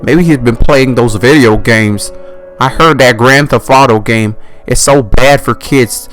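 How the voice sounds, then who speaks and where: A loud, crass suburban dad played by a man exclaims in a raised, baffled voice. He is clueless and foul-mouthed, a comic character in a bedtime horror story with faint music behind. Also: medium pitch, unhurried, close to an everyday voice. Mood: agitated, baffled.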